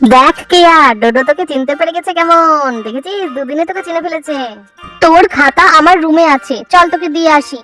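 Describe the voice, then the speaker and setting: একজন নারী বাচ্চাদের ভূতের কার্টুন গল্পে এক দুষ্টু, উৎসাহী, জেদি স্কুলপড়ুয়া মেয়ের কণ্ঠ দিচ্ছেন।. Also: female voice, high-pitched, moderate pace, pushed and bright, soft background music. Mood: chatty. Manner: defiant, eager, childlike. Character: mischievous, excitable schoolgirl obsessed with ghosts. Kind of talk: children's cartoon horror story acting.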